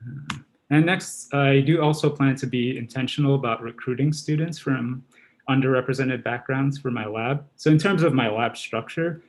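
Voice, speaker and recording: A man's voice: mid-range voice, moderate pace, low-quality recording. A man from Guyana and Trinidad, in his thirties, giving an academic seminar presentation as a researcher.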